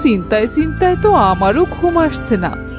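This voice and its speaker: Woman acting a high, fretful village mother. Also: very animated, normal speed, music in the background. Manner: fretful, plaintive. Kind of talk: Bengali cartoon voice acting.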